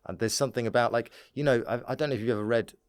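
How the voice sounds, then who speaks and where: A man's voice: medium pitch, very fast, smooth, no echo, clean studio sound. A man telling comic stories as a guest in a casual podcast chat, laughing as he talks. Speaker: podcast guest, stand-up comedian.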